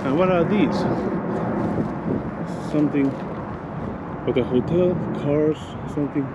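A man with a Finnish accent, a Finnish native speaker narrating his exploration vlog outdoors, halting as he searches for English words. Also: fairly high pitch, dull audio. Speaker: urban explorer. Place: outdoors at an abandoned mansion. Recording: loud wind noise on the mic.

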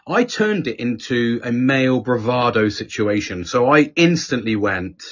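A middle-aged man with a husky voice, telling a story in casual, sweary podcast chat and acting out the people he quotes. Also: medium pitch, animated, a little slow, fairly bright tone. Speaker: podcast host. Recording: dull lo-fi podcast audio.

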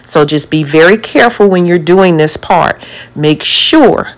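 Woman, low-pitched, friendly how-to vlog narration. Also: animated, unhurried, rough recording. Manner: chatty, encouraging how-to tips. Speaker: DIY home vlogger. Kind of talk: casual voice-over narration.

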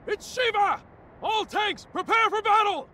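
An actor playing a proud, intense tank commander with formal military authority in a video game. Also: male voice, very high-pitched, lively intonation, unhurried, sharp tone, slight background noise, exaggerated voice. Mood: fierce, shouting. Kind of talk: English video game cutscene acting.

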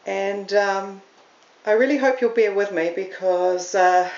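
A woman's voice: medium pitch, steady tone, slow pace, darkish tone. Woman, New Zealand accent, middle-aged, chatty and self-deprecating, echoey room. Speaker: podcast host. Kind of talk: casual solo talk to camera. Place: room at home. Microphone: camera on a stand. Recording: roomy, slightly dull home recording.

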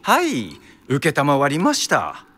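An actor playing a courteous, wry official with a Kyoto accent in a radio drama. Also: male voice, a little high, huge pitch swings, sharp tone, heavily acted. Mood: businesslike. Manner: polite, teasing, unhurried. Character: courteous, wry male official in Taisho Tokyo. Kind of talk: Japanese radio drama acting. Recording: clear music behind the voice.

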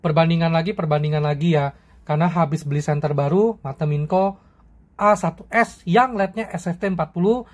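A man's voice: on the high side, brisk, a little mellow. A young man casually reviewing and comparing flashlights, chatty and slangy, often tagging his sentences with friendly fillers. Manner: chatty, slangy, frequent "ya" and "teman-teman". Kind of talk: casual product review vlog.

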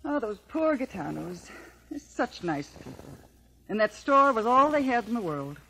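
Kindly, expressive woman. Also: fairly high pitch, moderate pace, creaky, exaggerated voice. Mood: concerned. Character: kindly, sympathetic woman chatting at home. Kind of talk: 1950s radio drama acting. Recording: faintly noisy old radio recording.

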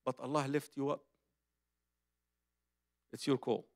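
A man's voice: mid-range voice, steady tone, takes it slowly, no room sound, clean studio sound. Older Egyptian man preaching. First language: Arabic. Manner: conversational, emphatic, repeats phrases, addresses audience directly. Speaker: Islamic preacher. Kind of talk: conference religious lecture. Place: conference hall stage, seated at table. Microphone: microphone on a boom stand.

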